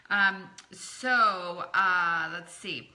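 A middle-aged woman running a live training session as a network marketing coach, talking in an encouraging, chatty way and greeting viewers by name. Her voice is a little croaky. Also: on the low side, extremely slow, sharp tone. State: slightly croaky from talking a lot.

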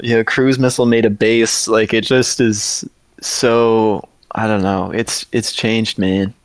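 A man's voice: lowish voice, little pitch movement, a little slow, clear, resonant tone, dry recording. A man cohosting a music podcast, talking casually in a chatty, hesitant way full of fillers.

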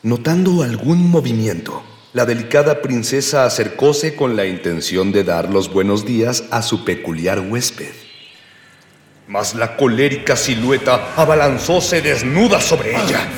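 A man's voice: medium pitch, lively intonation, measured pace. A man narrating a radio soap in a florid, pompous, mock-epic style. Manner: pompous, theatrical. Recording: echoey, reverberant, noisy recording.

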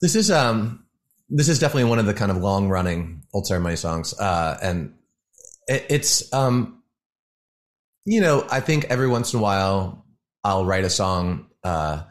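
A man, a musician calling in as a guest, talking in a relaxed, rambling way with many fillers over a call. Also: on the low side, moderate pace. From United States. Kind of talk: casual interview over a call. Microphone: phone or video call.

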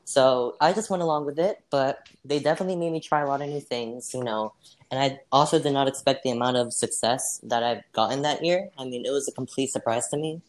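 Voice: medium pitch, brisk. Hesitant guest full of fillers. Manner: hesitant, many fillers, laughs off mistakes. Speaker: interview guest playing a singer. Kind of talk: casual role-played interview.

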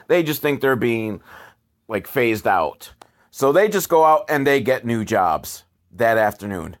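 Sarcastic guy riffing casually on old films, swears a lot, medium pitch. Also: a bit bright. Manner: sarcastic, profane. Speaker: host reviewing old educational films. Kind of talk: casual comedic commentary.

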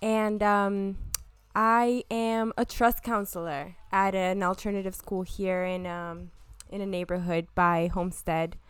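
Young woman guest on a faith podcast, reflective, laughs easily, smooth clear voice. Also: mid-range voice, fairly even intonation, unhurried, dry recording. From Miami, United States. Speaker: podcast guest, school counselor. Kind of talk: casual chat.

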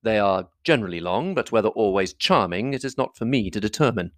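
A reader acts out a reserved, proud gentleman from a classic novel. The delivery is formal, measured and coolly precise, at a middling pitch in a dry recording. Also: animated, dry recording, close to an everyday voice. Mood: cool reserve. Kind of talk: classic novel audiobook dialogue.

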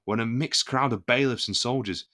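An actor playing a proud, indignant musketeer captain in an audio drama, formal and oratorical. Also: male voice, medium pitch, normal speed, sharp tone, lightly acted. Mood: pointed, courtly.

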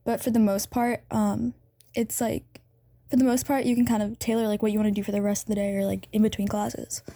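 Mellow teenage girl hosting a podcast. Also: mid-range voice, flat delivery, fairly quick, dry recording, studio quality. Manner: friendly, thoughtful, hedging with "like". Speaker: podcast host. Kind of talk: casual chat.

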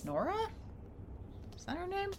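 A woman's voice: extremely high pitch, huge pitch swings, normal speed. A woman co-hosting a podcast in casual conversation, chatty and giggly in an informal way. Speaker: podcast co-host. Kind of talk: casual chat.

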